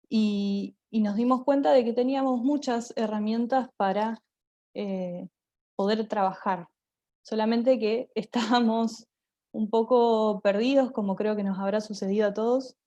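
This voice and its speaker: A woman with an Argentine accent and a soft, dark-toned voice gives an informal online presentation. She speaks haltingly, searching for words as she goes. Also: medium pitch. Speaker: theatre group member giving a talk.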